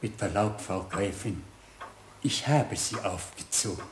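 Stiff, fearful old manservant. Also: male voice, lowish voice, lively intonation, measured pace. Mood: grave, shuddering. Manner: ceremonious, deferential, gravely superstitious. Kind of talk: German children's radio play. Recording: roomy, slightly hissy old radio play.

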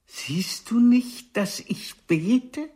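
An ageing stage diva in a radio drama. She is imperious and teasing, drawing out her words with grand, wide swoops of pitch. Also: animated, mellow, exaggerated voice. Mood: grand, coquettish. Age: older. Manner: declamatory, haughty, teasing, drawn-out delivery. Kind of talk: radio play acting.

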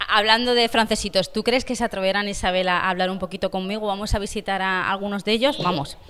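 A woman's voice: mid-range voice, fairly even intonation, quick pace, a bit bright. A woman radio reporter interviewing people on location in a nursery school, asking friendly, coaxing questions.

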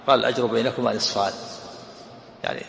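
Man, gravelly, quick, teaching hadith, echoey hall with faint noise. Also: mid-range voice, fairly even intonation, a bit bright. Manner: explanatory, repeats phrases. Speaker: sheikh explaining hadith. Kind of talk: hadith commentary lesson.

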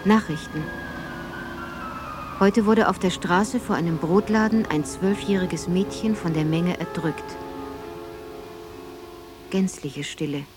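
An actress playing a grave, reflective narrator in a literary radio drama, speaking in a solemn, poetic and measured way. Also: female voice, lowish voice, music in the background. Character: grave, reflective woman narrator remembering her childhood.

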